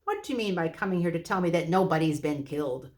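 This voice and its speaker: A woman's voice: fairly low pitch, expressive, fast, natural voice. A woman voicing an old, deaf, irritable male dwarf lord in a storybook reading. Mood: grumpy. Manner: irritable, half-shouting.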